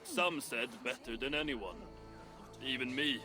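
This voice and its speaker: An actor playing a gruff, proud dwarf smith in a video game, blunt and curmudgeonly. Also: male voice, medium pitch, lively intonation, a little slow, over music, lightly acted. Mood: reluctant respect. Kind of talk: video game cutscene acting. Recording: clear background ambient noise.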